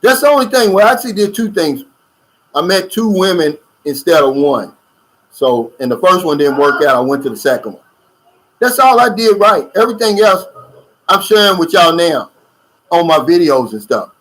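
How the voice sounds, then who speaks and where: Chatty middle-aged man, slightly high voice. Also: sing-song, moderate pace, a bit bright, low-quality recording. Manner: loose, chatty, drawling with fillers and asides. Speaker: YouTube channel host. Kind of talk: casual livestream chat reading viewer comments. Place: lives in the Philippines.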